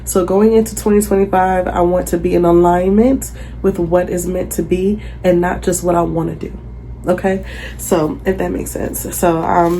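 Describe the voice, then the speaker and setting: A woman's voice: fairly low pitch, warm tone, a little hiss or hum. A young woman with a stuffy nose from a cold, chatting casually as she reads tarot cards in her bedroom, often checking in with the viewer. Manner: chatty, affirming, checks in with "okay?". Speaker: tarot reader. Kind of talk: casual tarot reading vlog. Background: jazz music playing.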